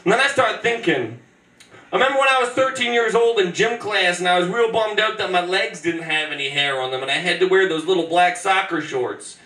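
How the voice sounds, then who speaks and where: A man's voice: high voice, brisk, bright, forceful tone. A man in his twenties performing spoken word poetry on a small club stage, with a rhythmic, punchy delivery broken by chatty asides. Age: 20s. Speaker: performance poet. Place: small club stage with band gear behind. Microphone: stand mic, filmed from the back of the room. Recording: roomy distant live club recording.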